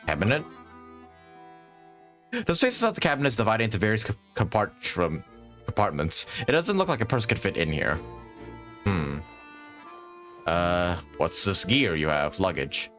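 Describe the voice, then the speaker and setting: A man's voice: deep voice, big pitch swings, normal speed, long pauses, fairly bright tone. A man streaming a game, thinking aloud in casual commentary and reading on-screen text. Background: game music.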